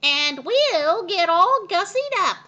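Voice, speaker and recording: A woman's voice: very high voice, big pitch swings, slow, bright, forceful tone, dull audio, voice pushed up, exaggerated voice. A woman voicing vain, indignant farm hens from a children's storybook in a theatrical, exclamatory way. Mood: excited, preening. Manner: exclamatory, gushing, theatrical complaining. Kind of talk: children's storybook character reading.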